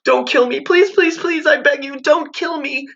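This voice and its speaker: A sobbing, terrified boy begs for mercy in a high, pleading, tearful voice. The pitch jumps far above a man's usual voice, and the tone is bright and sharp. The recording sounds dull and lo-fi. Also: expressive, normal speed. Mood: sobbing, begging.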